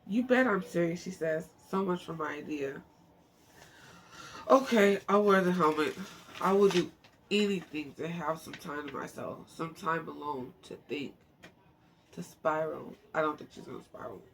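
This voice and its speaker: A woman's voice: low-pitched, moderate pace. A woman reading a book aloud informally, stumbling over words and breaking off to muse to herself in chatty asides. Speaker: amateur book narrator. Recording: faint background noise.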